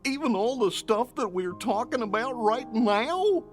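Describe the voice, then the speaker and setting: High, lively cartoon animal companion. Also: female voice, unhurried, soft background music. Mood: eager. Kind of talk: animated video game acting.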